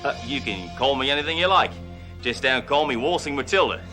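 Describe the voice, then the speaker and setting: A swaggering fake-Australian big shot, as a man puts on the accent, all bluster and boasts, with loud brassy delivery. Music plays behind him in this TV comedy. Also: mid-range voice, expressive, a little fast. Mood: flirty. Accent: put-on Australian. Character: brash fake-Australian male tycoon, boastful.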